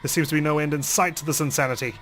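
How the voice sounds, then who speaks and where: A man's voice: on the high side, steady tone, very fast, fairly bright tone. A man reading a visual novel aloud as a let's play host, in dramatic, theatrical first-person narration.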